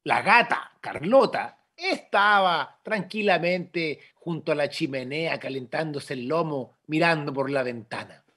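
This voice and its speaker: A man with a husky voice, narrating and talking in an online radio drama workshop. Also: fairly high pitch, lively intonation, a little slow, hardly any pauses, sharp tone. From Chile. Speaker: narrator and theatre company member. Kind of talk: storybook narration and workshop talk. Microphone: video call.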